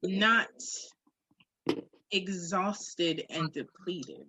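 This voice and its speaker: Clear-voiced woman in candid podcast talk. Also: fairly low pitch, drawn-out words, pushed and bright, dry recording, low-quality recording. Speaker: podcast co-host. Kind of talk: candid conversational podcast discussion.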